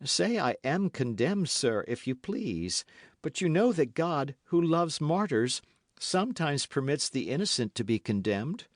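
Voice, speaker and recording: A man performs a scheming noblewoman who feigns pious meekness, pleading through sobs. The voice rides high and swoops widely, with a close, dry sound. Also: sing-song, a little slow, no room sound. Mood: tearful pleading. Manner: pleading, sobbing, breathless. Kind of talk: audiobook character voice.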